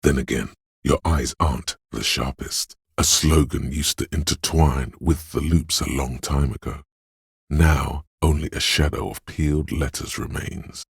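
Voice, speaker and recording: A man voicing the inner sense of perception in a video game, narrating what the hero notices in a measured, observant, second-person way. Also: rumbling low, fairly even intonation, breathy edge, no echo, exaggerated voice. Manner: measured, observant, softly descriptive delivery. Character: the hero's inner sense of perception, male, watchful and calm. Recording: dry close studio recording.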